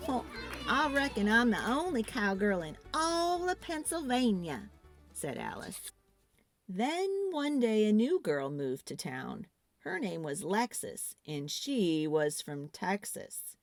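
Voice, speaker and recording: A woman narrating a children's storybook in an expressive, theatrical read-aloud style. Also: fairly low pitch.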